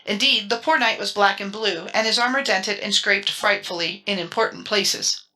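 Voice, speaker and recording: A woman narrator with a bright, crisp-edged voice at a medium pitch. She reads a scripted children's adventure tale in a dramatic storybook style. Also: moderate pace, poor audio. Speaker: audiobook narrator.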